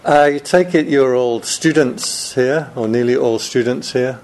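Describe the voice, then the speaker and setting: A man's voice: medium pitch, measured pace, darkish tone. A man, a Buddhist monk, giving a chatty Dharma talk to a room of students, joking and laughing often.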